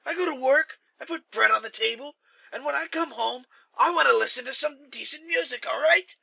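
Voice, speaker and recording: Male actor as hostile radio caller: very high, piercing, creaky voice, mocking working-man grouch in a spooky radio drama. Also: fairly quick, bright, forceful tone, muffled recording, heavily acted. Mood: fed up. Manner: abrasive, mocking, slangy. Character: belligerent, fed-up working man heckling a radio show.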